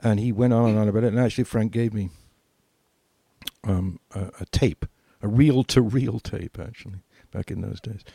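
A man's voice: on the low side, normal speed, vocal fry, dark tone, slightly rough, dry recording. An older British man reminiscing as a podcast co-host, repeating phrases for emphasis. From Britain.

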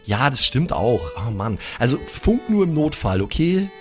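A man's voice: mid-range voice, big pitch swings, moderate pace, a little mellow. A young man improvising in a role-play, chatting casually in slang sprinkled with English words. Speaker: player in a pen and paper podcast. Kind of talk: improvised in-character casual chat. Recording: faint music bed behind the voice. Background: faint background music.

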